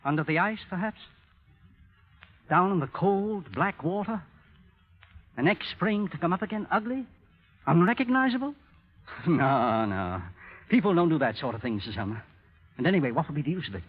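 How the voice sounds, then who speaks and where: Husky, menacing radio-drama blackmailer. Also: male voice, on the high side, sing-song. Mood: taunting, threatening. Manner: theatrical, taunting, deliberate. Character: bitter, menacing male blackmailer, coldly calculating and scornful. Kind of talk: 1930s radio drama acting. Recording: dull, lo-fi old radio recording.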